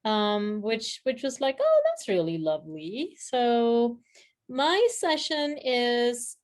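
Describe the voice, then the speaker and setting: A woman's voice: a little high, big pitch swings, slow pace, a little mellow. Reflective woman from Sri Lanka. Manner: thoughtful, searching for words, laughs easily. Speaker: practice leader guest. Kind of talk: reflective casual conversation.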